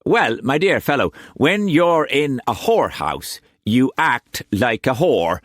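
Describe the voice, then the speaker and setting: A man's voice: mid-range voice, sing-song, slow pace, dry recording, studio quality, voice pushed up. A man voicing a flamboyant, melodramatic old painter who speaks in grand phrases. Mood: jovial. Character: flamboyant, theatrical old male painter, a rogue.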